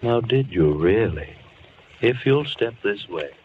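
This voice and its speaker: Courtly recluse in vintage radio horror: very low, slow, formal, off-mic, tinny. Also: male voice, expressive, a little hiss or hum. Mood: eerily courteous. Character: strange male recluse violinist, courtly and eerie. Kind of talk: 1930s radio drama acting. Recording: muffled old radio recording, distant mic.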